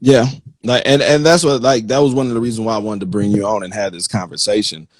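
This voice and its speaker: A man's voice: on the low side, steady tone, fast talker, no room sound. A man hosting an interview in casual, informal talk, explaining his points with rhetorical questions. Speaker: interview host.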